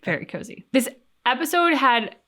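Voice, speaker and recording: A woman's voice: high voice, very animated, moderate pace, sharp tone. A young adult woman co-hosting a reaction show, talking things over in an earnest, thoughtful way. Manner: thoughtful, earnest, talks in long reflective runs. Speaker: reaction channel co-host. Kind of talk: casual reaction chat.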